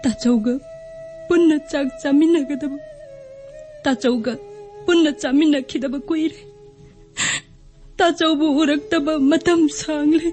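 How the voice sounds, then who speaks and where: A woman's voice: sits high, fairly even intonation, very fast, long pauses, a little mellow, faint music behind, close to an everyday voice. A woman acting in a radio drama as a devoted, tearful younger sister, with a pleading, emotional manner. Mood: anxious, hopeful. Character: devoted, tearful younger sister who adores her brother.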